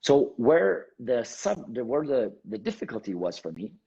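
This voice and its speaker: A man from Montreal whose first language is Armenian, telling his story as an interview guest in an animated way, acting out the dialogue he quotes. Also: mid-range voice, moderate pace, gravelly, breathy edge, dull audio. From Montreal, Canada. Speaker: guest, speaker and coach.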